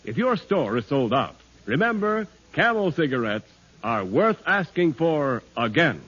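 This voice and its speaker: Husky, emphatic 1940s radio announcer man. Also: fairly high pitch, sing-song, a little slow, fairly bright tone. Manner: emphatic, polished salesmanlike delivery. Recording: dull vintage 1940s radio recording.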